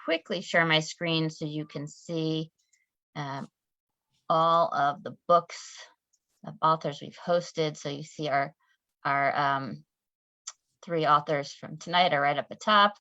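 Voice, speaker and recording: A woman's voice: sits low, steady tone, slow, long pauses, bright, forceful tone, no echo. A woman hosting an online author event on a video call, speaking in a friendly way with many fillers. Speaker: event host. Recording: dull, dry video call audio.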